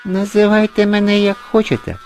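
Tiny baby dragon boy, thin squeaky high voice, naive n sorry-sounding, storybook style. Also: brisk, darkish tone, faint music behind. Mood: curious. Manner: naive, apologetic. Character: innocent newly hatched little male dragon, small, polite.

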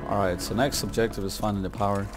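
A man's voice: fairly low pitch, fairly even intonation, fast talker. A young man giving casual gameplay commentary in slangy language, muttering to himself as he plays. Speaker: gamer, video creator. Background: video game music and sounds.

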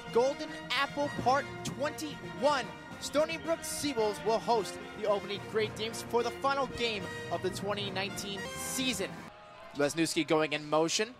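High-pitched man calling football play-by-play. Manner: excitable, shouts and stretches words on big plays. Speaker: radio play-by-play announcer. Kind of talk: live football play-by-play commentary. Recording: live radio sports broadcast.

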